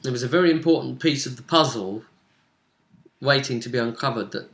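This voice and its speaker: A man's voice: mid-range voice, fairly quick, vocal fry, fairly bright tone. A man speaking as game master, casually recapping a tabletop roleplay session in a conversational, storytelling manner. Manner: conversational, storytelling, acts out brief character quotes.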